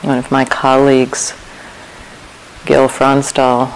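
A woman meditation teacher leading a guided meditation in a calm, measured way, with long pauses between short phrases. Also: extremely low pitch, gravelly, slight background noise, poor audio.

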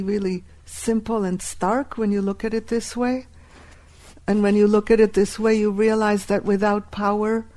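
Woman, native Hebrew speaker, unhurried workshop teacher, repeats key points. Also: mid-range voice, darkish tone. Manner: deliberate, repeats key phrases.

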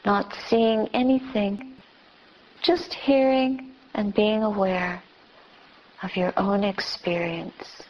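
Slow-speaking woman guiding meditation. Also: medium pitch, fairly even intonation. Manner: gentle instruction in short, spaced phrases. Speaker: meditation teacher. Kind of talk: guided meditation. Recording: dull, lo-fi recording.

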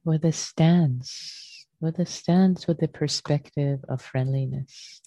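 A woman teaching a guided meditation in a gentle, unhurried manner, leaving long pauses between short phrases. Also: low voice, steady tone. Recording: muffled, roomy sound.

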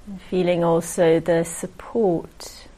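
Very slow, low-voiced woman guiding meditation. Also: flat delivery, low-quality recording. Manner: gentle, unhurried, with long pauses. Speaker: meditation teacher. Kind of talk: guided meditation.

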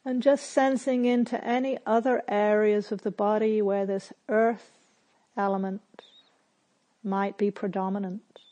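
A woman meditation teacher speaks very slowly in a soft, mellow voice. Her phrases are short and measured, with long pauses between them. The delivery is gentle and quietly instructive, at a medium pitch for a woman. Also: little pitch movement. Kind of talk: guided meditation, slow and spare with long silences.

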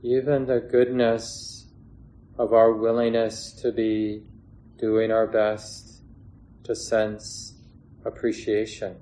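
Male meditation teacher, lowish pitch, flat unhurried delivery with long pauses, faint background noise. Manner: gentle, unhurried, with long pauses between short phrases. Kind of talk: guided meditation. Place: meditation hall with a gathered group. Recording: clear background noise, room ambience.

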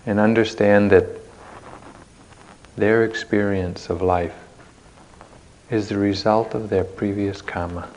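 A man leading a guided meditation as a teacher, speaking in measured short phrases with long pauses, recorded live at a retreat. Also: lowish voice, steady tone, a little mellow, muffled recording, a little hiss or hum.

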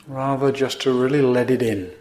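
A man teaching a guided meditation in gently instructive, unhurried phrases with pauses between them. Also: mid-range voice, fairly even intonation. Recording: roomy, echoing indoor sound.

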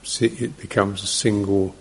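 A man teaching guided meditation, speaking in short, gentle fragments with long pauses between them. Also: fairly low pitch, fairly even intonation, husky.

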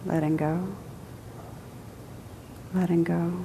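A woman teaching a guided meditation, speaking gently and unhurriedly in short phrases with pauses between them. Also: level tone, creaky. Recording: echoey hall, rumbly background noise.

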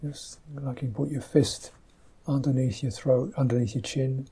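A man teaching a guided meditation, speaking softly and gently in unhurried, halting phrases, with a slightly husky voice. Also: mid-range voice, fairly even intonation.

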